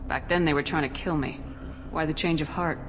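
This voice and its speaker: An actress playing a guarded, decisive military commander in a video game, blunt and firm in manner. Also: female voice, sits low, steady tone, fairly quick, noisy background, close to an everyday voice. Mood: distrustful. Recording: reverberant hall under music.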